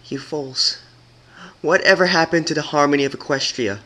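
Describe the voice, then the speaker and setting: A man's voice: fairly high pitch, normal speed, pushed and bright, voice pushed up. A man voicing an anguished, powerful female unicorn ruler in a storybook reading, with indignant, impassioned pleading. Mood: defiant anger.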